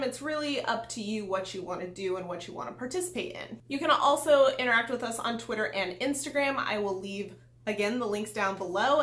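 A woman's voice: on the high side, pushed and bright. A young adult woman, a book vlogger making a casual announcement to camera in a room at home. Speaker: book vlogger, channel host. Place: small room at home with bookshelves, indoors. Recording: echoey room sound.

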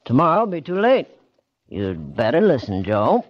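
An American actor playing a bitter, vengeful bookkeeper in 1940s radio drama, taunting and mocking with quiet menace. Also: male voice, mid-range voice, huge pitch swings, normal speed. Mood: insistent, ominous. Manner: taunting, mocking, with sly chuckles. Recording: dull, lo-fi old radio recording.

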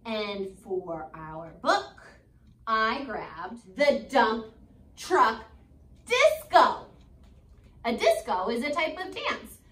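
An American woman in her twenties, a schoolteacher reading a picture book aloud to children with expressive, chatty asides. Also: on the high side. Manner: animated, expressive, deliberate, questioning young listeners. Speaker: elementary school teacher. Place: school classroom, indoors. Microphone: phone camera a few feet away. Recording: roomy classroom, mic at a distance.

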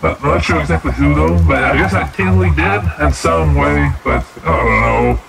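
A man acts a muddled character in a comedy game redub. The voice is extremely gravelly and hesitant, with loud background babble around it. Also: a little high, moderate pace, over a noisy crowd.

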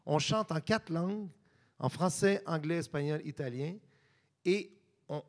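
A man with a Québécois French accent who speaks a little higher than most men. He tells his story slowly and thoughtfully on a panel and slips into English now and then. The sound comes through a handheld mic on a theatre stage. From Quebec, Montreal area. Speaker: panelist, musician. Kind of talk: panel discussion.

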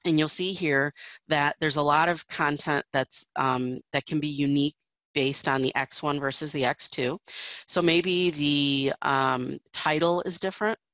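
A woman's voice: deep voice, steady tone, measured pace, a bit bright, no echo, lo-fi sound. A woman presenting a technical webinar over slides, explaining steadily with frequent fillers.

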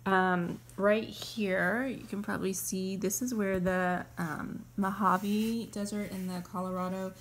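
A woman's voice: medium pitch, fairly even intonation, a little slow, few pauses, slight background noise. Female travel vlogger, casual conversational narration, informal delivery. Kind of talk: casual chat and voiceover narration.

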